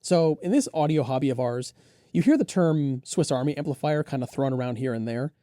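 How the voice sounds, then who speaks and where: A man with a relaxed, mellow voice at a middling pitch talks through product specs as a reviewer and co-host. The sound is close and dry, and his delivery is conversational, detailed and keen. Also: brisk, no echo. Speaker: audio gear reviewer and co-host.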